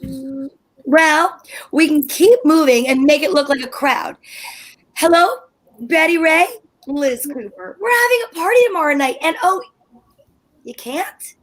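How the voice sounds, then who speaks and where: Woman as a sharp-tongued high-voiced housewife, old radio comedy style. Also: expressive, measured pace, long pauses. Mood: exasperated. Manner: tart, sarcastic, flustered. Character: scheming indignant housewife Liz. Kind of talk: amateur old-time radio acting.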